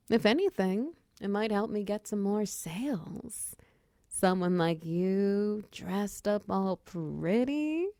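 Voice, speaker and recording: A woman's voice: medium pitch, no room sound, studio quality. A woman playing a confident, flirtatious female minotaur wizard who runs a potion shop, speaking to the listener in intimate, teasing roleplay ASMR. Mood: flirty, teasing. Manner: teasing, coy, softly breathy in places.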